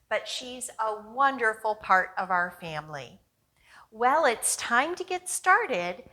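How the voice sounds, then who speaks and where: A woman's voice: on the high side, bright, forceful tone. A woman hosting a children's storytime, reading aloud expressively and coaxingly putting questions to young listeners.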